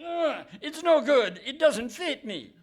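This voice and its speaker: A man playing a feeble, whining, excitable villain's sidekick in a comic radio play. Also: very high voice, takes it slowly, noisy background, heavily acted. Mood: alarmed. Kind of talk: Goon Show style radio comedy acting. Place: live performance on stage in a hall.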